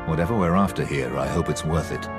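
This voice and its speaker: Video game sergeant pilot: low voice, crisp soldierly report, dutiful, plain-spoken, music bed. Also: male voice, fast talker. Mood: dutiful, wry. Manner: crisp, matter-of-fact, soldierly. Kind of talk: scripted video game acting.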